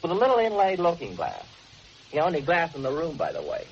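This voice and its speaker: A man's voice: a little high, huge pitch swings, a little fast. An actor playing a hesitant, observant young man in a radio mystery, talking informally in short, tentative phrases. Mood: matter-of-fact. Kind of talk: full-cast radio mystery drama acting. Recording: muffled noisy old radio recording.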